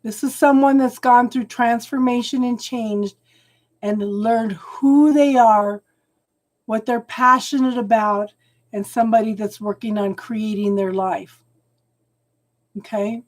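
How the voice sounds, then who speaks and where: Slow, soothing woman losing her voice. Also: a little high, fairly even intonation. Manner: slow, soothing, keeps adding "okay?". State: losing her voice, clearing throat. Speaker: tarot reader. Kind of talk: live-stream tarot reading.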